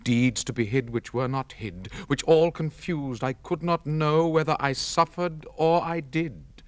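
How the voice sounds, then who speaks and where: A man giving a solemn, intense dramatic recitation of classic poetry, with measured, theatrical stage diction. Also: a little high, dry recording. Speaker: poetry reader. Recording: close, dry 1960s spoken-word record.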